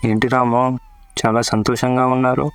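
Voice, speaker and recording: A man's voice: mid-range voice, flat delivery, moderate pace, vocal fry, clean tone, faint music behind, lightly acted. A man playing a sensible, traditional-minded village uncle in a cartoon story, firm and respectful. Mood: pleased. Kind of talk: Telugu cartoon story dialogue.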